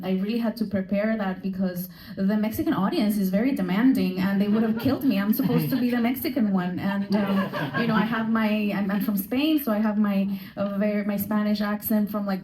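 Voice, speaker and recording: A woman interpreting live on a premiere panel, with many pauses and fillers. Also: mid-range voice, fairly even intonation, crowd noise. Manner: hesitant, filler-heavy. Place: theater stage at a premiere. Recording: distant echoey theater mic, faint hum.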